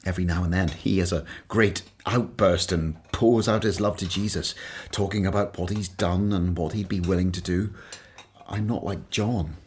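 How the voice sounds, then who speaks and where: An actor playing a humble, self-doubting former tax collector in a radio play, earnest and hesitant. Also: male voice, low voice, fairly even intonation, normal speed, slight background noise, close to an everyday voice.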